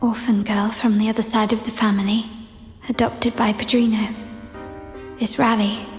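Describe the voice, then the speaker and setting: An actress playing a poised young noblewoman in a video game, speaking her thoughts in measured, formal phrases. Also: on the high side, little pitch movement, soft background music, close to an everyday voice. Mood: pensive, resolute. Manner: measured, deliberate, formal diction. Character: poised young noblewoman investigator of a mafia family, wary and resolute. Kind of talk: video game voice acting, inner monologue.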